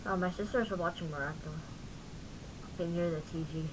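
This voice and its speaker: A woman giving a casual software tutorial in an informal, halting way, often interrupting and talking to herself. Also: lowish voice, fairly even intonation, slightly rough, low-quality recording. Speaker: tutorial host. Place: at home, at a computer.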